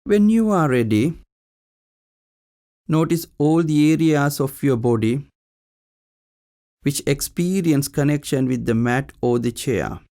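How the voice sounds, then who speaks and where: A man leading a guided meditation as a teacher, speaking in short, calm instructional phrases with long pauses. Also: fairly high pitch.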